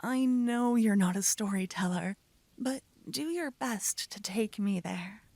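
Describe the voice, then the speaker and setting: A woman voicing a lovestruck young female mage in a soft, breathy, often whispered voice, tenderly coaxing her lover in a romantic ASMR roleplay. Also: medium pitch, unhurried, no echo.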